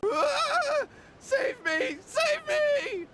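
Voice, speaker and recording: A man's voice: very high-pitched, big pitch swings, extremely slow, a little hiss or hum, full character voice. An actor playing a cowardly, anxious young townsman in an anime video game, flustered and stammering. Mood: panicked. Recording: loud music behind.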